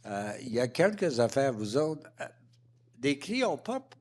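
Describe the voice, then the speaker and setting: A man's voice: mid-range voice, sing-song, moderate pace, a little mellow. A man making impromptu panel remarks, repeating and restarting his phrases often as he argues his points emphatically. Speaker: roundtable panelist.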